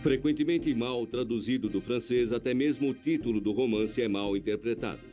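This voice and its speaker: A man narrating a documentary in a measured, scripted voice-over. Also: medium pitch, dark tone, soft background music.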